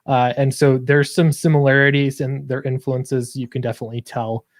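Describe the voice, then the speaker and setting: A man's voice: mid-range voice, flat delivery, normal speed, no echo, studio quality. A man chatting casually as an interview guest, explaining his creative work in an earnest way. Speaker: guest comic book creator. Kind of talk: casual interview chat about own project.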